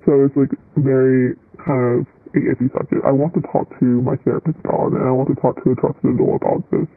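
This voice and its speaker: A teenage guest answering interview questions hesitantly, with many ums and likes. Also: male voice, medium pitch, little pitch movement, fast, dry recording. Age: teens. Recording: tinny phone-like line with faint noise.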